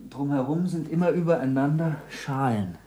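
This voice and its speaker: A man's voice: a little high, normal speed, mellow, lightly acted. A male actor playing an earnest, curious boy pupil in a 1960s German radio drama, speaking in a plain, questioning way. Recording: faintly noisy old radio recording.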